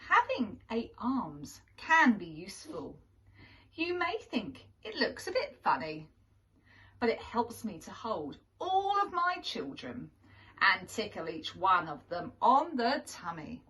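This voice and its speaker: A fond, practical mother octopus in a picture book, read by a woman, explains things playfully. Her pitch is lively and her pace unhurried. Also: recorded from a distance, rough recording.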